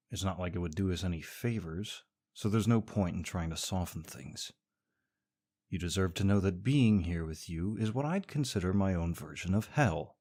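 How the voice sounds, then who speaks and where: A man's voice: low-pitched, darkish tone. A young man performing a scripted audio roleplay as a sarcastic, teasing rival co-worker. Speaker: voice actor playing a smug rival co-worker.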